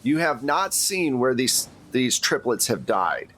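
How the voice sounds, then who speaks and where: A man's voice: medium pitch, very animated, a little slow, a bit bright. A man working as game master, recapping and narrating a tabletop game in an informal, conversational way.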